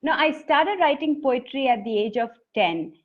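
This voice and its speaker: A woman's voice: high-pitched, expressive, normal speed. Earnest Indian woman author. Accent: Indian English. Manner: earnest, reflective storytelling. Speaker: poet and author guest. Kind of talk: conversational interview answers. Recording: dull lo-fi audio.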